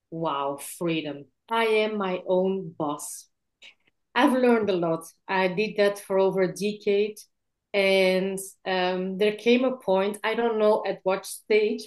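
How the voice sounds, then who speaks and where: Belgian woman in her 40s, english, chatty and warm, asks "yeah?" a lot, video call. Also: medium pitch. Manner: warm, lively storytelling, adds "yeah?". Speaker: guest speaker. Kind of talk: online interview, personal story.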